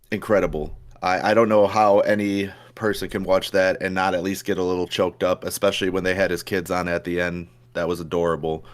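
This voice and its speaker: A young man with a low, hoarse voice co-hosts a casual podcast. He talks in a relaxed, chatty way, stumbling over his words and filling gaps with "uh" as he goes. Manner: relaxed, chatty, with frequent fillers and restarts. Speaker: podcast co-host. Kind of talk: casual chat.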